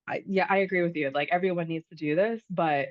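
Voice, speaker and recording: A woman in her thirties from the United States, hosting a podcast in a relaxed, chatty way, with lots of fillers and restarts. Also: on the low side, fast talker, no room sound, lo-fi sound. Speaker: podcast host. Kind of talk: casual conversation.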